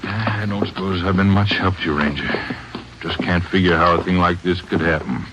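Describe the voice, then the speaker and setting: Man as a deep-voiced, weary country businessman. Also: moderate pace, close to an everyday voice. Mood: folksy, uneasy. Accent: American. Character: weary, folksy small-town feed business owner. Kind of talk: old-time radio drama acting. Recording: muffled old radio recording, faint noise.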